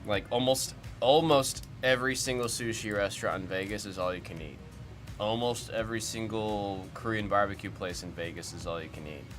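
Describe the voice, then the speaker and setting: A young man hosting a livestream, chatting loosely and puzzling aloud over viewer comments. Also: on the low side. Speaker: livestream host of card breaks. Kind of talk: casual chat reacting to viewer comments. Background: music.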